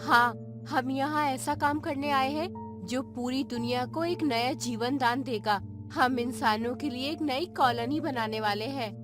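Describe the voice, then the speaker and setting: A woman's voice: high-pitched, measured pace, music in the background. A woman playing a bold, determined woman scientist in a dramatized space adventure story. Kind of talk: dramatized Hindi audio story dialogue.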